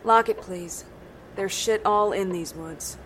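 An actress playing a blunt, weary, bitter middle-aged countrywoman in an audio drama, speaking gruffly and matter-of-factly. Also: mid-range voice, slow, fairly bright tone, a little hiss or hum, poor audio. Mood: curt, wary. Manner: blunt, matter-of-fact, swearing.

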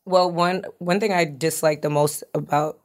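A woman, a podcast guest telling stories in an animated, lively way, acting out the voices she quotes. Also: sits low, moderate pace. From Long Island, New York. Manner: animated storyteller who acts out quoted voices. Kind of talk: casual chat.

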